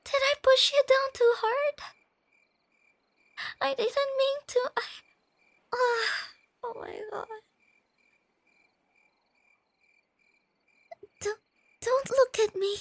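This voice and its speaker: A bashful, nerdy young girlfriend in an ASMR roleplay. Her soft, girlish voice sits extremely high and moves slowly, hesitating and stammering as she gets flustered. It is recorded close and very dry, with night insects chirping behind. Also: fairly even intonation, dry recording, exaggerated voice. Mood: flustered, panicked.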